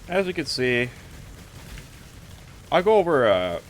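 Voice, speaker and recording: A man's voice: on the high side, big pitch swings, slow pace, slight background noise. A man giving casual gameplay commentary as a streamer, offhand and a little hesitant, thinking aloud as he goes. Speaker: streamer showing a game character build.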